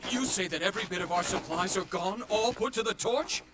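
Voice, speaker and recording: A blustering, excitable warlord played by a male actor in a game. His voice is pitched high for a man. Noisy ambience is clearly heard behind it. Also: a little fast, natural voice. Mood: incredulous. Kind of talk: video game voice acting.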